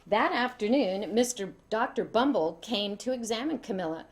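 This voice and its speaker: Expressive woman reading a children's storybook. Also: on the high side, normal speed. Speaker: storybook reader. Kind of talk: expressive children's read-aloud.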